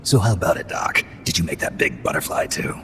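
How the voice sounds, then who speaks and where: A man voicing a gruff, guarded veteran soldier in a video game, terse and questioning, with a gravelly rasp. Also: deep voice, animated, brisk, heavy background noise. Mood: evasive, dry. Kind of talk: game voice acting, terse dialogue. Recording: long reverb, music behind.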